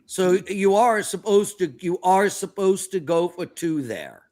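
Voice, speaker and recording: A man hosting a live sports betting show, talking in a quick, excitable way. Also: high-pitched. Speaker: host.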